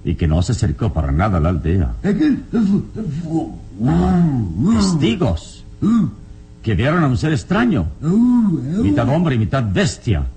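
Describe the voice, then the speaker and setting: An actor playing a calm, courteous and confident adventurer hero in a radio drama, with a measured, persuasive way of talking. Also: male voice, mid-range voice. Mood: curious. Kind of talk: old radio drama acting. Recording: noisy old radio serial recording.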